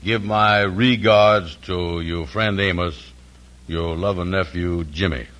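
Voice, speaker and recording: A low-voiced, slow-witted but big-hearted uncle from a 1940s radio comedy. He talks in a folksy, ungrammatical drawl, humble and proud. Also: fairly even intonation, fairly bright tone. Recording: vintage 1940s radio broadcast.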